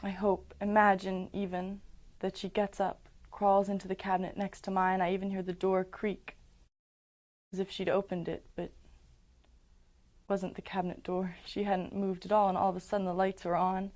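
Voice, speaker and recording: A young woman in her late teens performing a dramatic monologue as a guilt-ridden, haunted teenage survivor, halting and intense. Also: medium pitch, little pitch movement, a little mellow, close to an everyday voice. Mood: fearful, remorseful.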